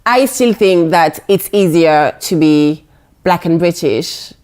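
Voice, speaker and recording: French-accented woman telling lively stories. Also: lowish voice, takes it slowly. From France. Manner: animated storyteller, quotes people in mock voices. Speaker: guest, blogger. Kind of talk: casual interview chat.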